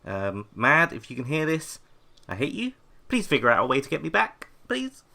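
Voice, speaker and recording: A man acts as a hapless young intern in an audio comedy. He is anxious and hesitant with a sarcastic streak, and his bright, tense voice moves animatedly up and down. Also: medium pitch, wildly swooping pitch, moderate pace, vocal fry, full character voice. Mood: exasperated. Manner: nervous, hesitant, self-talking. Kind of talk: scripted audio comedy drama.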